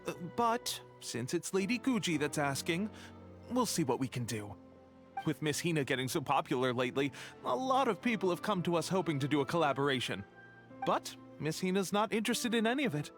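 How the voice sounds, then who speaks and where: A man's voice: fairly high pitch, big pitch swings, a little fast, faint music behind. A man voicing an earnest, obliging, rambling and polite publishing clerk in a video game. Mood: explaining. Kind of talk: video game character acting.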